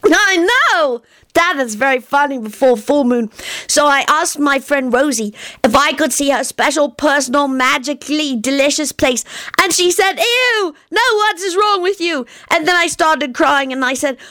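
A woman's voice: high voice, expressive, moderate pace, sharp tone, no echo, voice pushed up. A woman voicing a precocious young wizard boy who talks in an earnest, matter-of-fact way.

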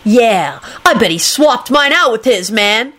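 Woman putting on a screechy, high-pitched hysterical young dude. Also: animated, a little slow. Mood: spiteful. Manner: shouty slangy whining, says dude constantly. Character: screechy, hysterical, foul-mouthed young man, sulky and spiteful.

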